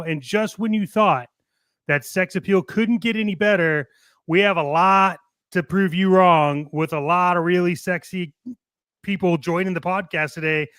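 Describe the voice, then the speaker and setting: A man's voice: high-pitched, a bit bright, clear, resonant tone, no room sound, studio quality. A man hosting a casual hype show in a showy, cheeky way, swearing casually. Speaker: podcast host.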